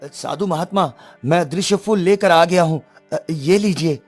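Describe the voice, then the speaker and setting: A man's voice: fairly high pitch, a little slow, soft background music, pitched above the performer's own voice. A man voicing a brave young prince in a cartoon story, speaking formally and resolutely. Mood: respectful. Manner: formal, declarative.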